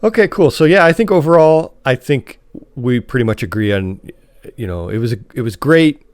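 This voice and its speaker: A man chatting as a podcast host, with a mid-pitched voice and a very dry, close sound. His delivery is casual and a little halting, as if thinking while he talks. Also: expressive, no echo.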